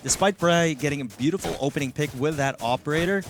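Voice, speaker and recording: A man with a Filipino accent giving analytical live esports commentary as a shoutcaster, talking conversationally with a co-caster. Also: on the high side, quick pace, background noise.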